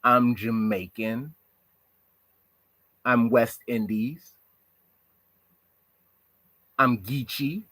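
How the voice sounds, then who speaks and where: A man's voice: mid-range voice, drawn-out words, long pauses, sharp tone, no room sound. A man from the United States giving a reflective monologue on social issues, asking rhetorical questions. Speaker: commentator.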